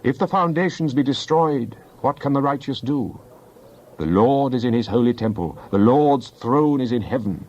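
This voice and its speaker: A man reads scripture as a dramatic narrator, solemn and declamatory. His pitch sits in the middle of the male range and he phrases each line deliberately. The recording is somewhat dull and band-limited. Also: animated, a little mellow. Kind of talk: dramatized Bible reading.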